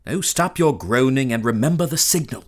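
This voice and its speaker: Male conspirator man acting: smooth-talking, coaxing, theatrical melodrama delivery, animated pitch. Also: normal speed, a little hiss or hum, close to an everyday voice. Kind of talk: melodramatic audio drama acting.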